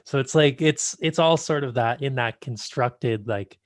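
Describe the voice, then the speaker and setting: A man's voice: mid-range voice, measured pace, no room sound, clean studio sound. Easygoing young male podcast host. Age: 20s. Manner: easygoing, agreeable, loose with fillers. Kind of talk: casual interview chat.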